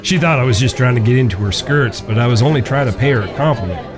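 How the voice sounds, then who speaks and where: An actor in a western audio drama playing a teasing younger brother, an Old West cowboy with a folksy, mischievous way of talking. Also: male voice, mid-range voice, lively intonation, fast, close to an everyday voice. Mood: frank. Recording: background music.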